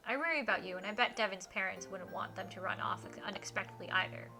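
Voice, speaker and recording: A woman's voice: medium pitch, fast talker, vocal fry, over music, close to an everyday voice. A woman voicing a mild, caring suburban dad in a visual novel, speaking in an earnest, hesitant way. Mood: gentle, concerned. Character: mild, caring, slightly awkward suburban dad, adult man.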